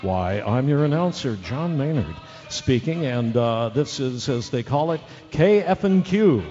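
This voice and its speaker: A man working as a radio announcer, reading show credits live in a playful, drawn-out style. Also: mid-range voice, animated, warm tone. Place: live theater hall. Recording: dull hall recording with faint music.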